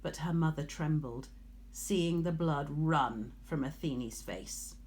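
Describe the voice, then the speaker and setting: A woman narrates a storybook in a slightly low voice. Her delivery is slow and measured, with expressive storytelling phrasing. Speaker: teacher reading a story aloud.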